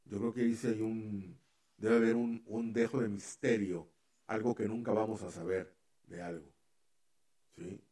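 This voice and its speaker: A man's voice: fairly low pitch, fairly even intonation, measured pace, long pauses, mellow. A man hosting a casual radio chat, rambling with many fillers and restarts. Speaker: radio show host.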